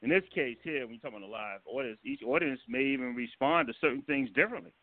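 A man giving casual, halting interview answers as a guest director, explaining things with chuckles along the way. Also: mid-range voice, very animated, creaky. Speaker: guest, stage and film director. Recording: narrow phone-line sound.

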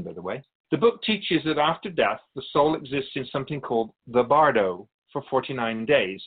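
Radio drama role: male actor as a wise spirit guardian of souls, didactic storyteller, animated intonation, mid-pitched. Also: breathy edge, narrow, tinny audio. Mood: solemn, instructive. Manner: didactic, measured explanations with pointed asides. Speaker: interviewed guest. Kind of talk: scripted radio drama dialogue.

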